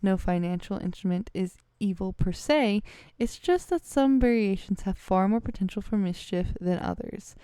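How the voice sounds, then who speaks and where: A woman's voice: mid-range voice, expressive, normal speed, few pauses, mellow, clean tone, no room sound. A woman reading a text aloud, stumbling over words and correcting herself as she goes. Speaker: vlogger reading a letter aloud.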